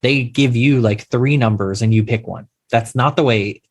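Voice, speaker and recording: A man's voice: mid-range voice, moderate pace. A man, an insurance attorney, explaining coverage to investors in an emphatic way, often with rhetorical questions, on a podcast interview. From Louisiana, USA.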